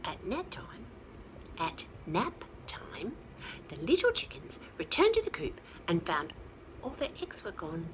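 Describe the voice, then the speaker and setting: A woman's voice: lowish voice, huge pitch swings, unhurried, in a whisper, rough recording. A woman storyteller reading aloud expressively to small children, with chatty asides along the way.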